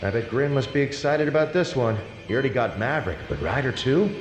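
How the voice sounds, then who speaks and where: Man as lab technician, medium pitch, matter-of-fact, video game, long reverb. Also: animated, quick pace, close to an everyday voice. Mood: musing. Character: casual, gossipy male lab technician in sinister facility. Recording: long echoing reverb, faint music behind.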